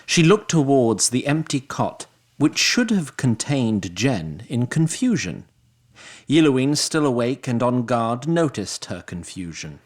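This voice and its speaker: A man's voice: medium pitch, very animated, measured pace. A man narrating a scripted fantasy audio drama in a dramatic storytelling style.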